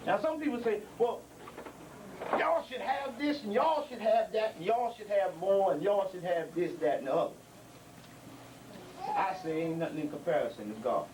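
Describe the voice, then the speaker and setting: A man's voice: high voice, moderate pace. A middle-aged man addressing young members at a gathering, preaching with repeated emphasis and street vernacular. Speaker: elder speaker at a community gathering. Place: indoor building. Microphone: handheld microphone. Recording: distant mic with background noise.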